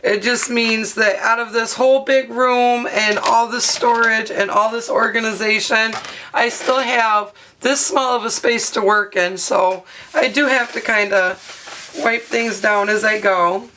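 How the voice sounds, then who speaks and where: A hoarse woman in her thirties talks through a craft project at her studio desk in a casual, rambling way, full of "uh"s. Her voice sits a little high and sounds bright despite the strain, and she speaks slowly. A camera mic above the desk picks her up with a faint hiss behind her. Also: steady tone, rough recording. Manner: chatty, rambling with fillers. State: hoarse, partly lost voice. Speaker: craft video host. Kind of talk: casual craft tutorial narration. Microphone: overhead camera mic, speaker off-frame.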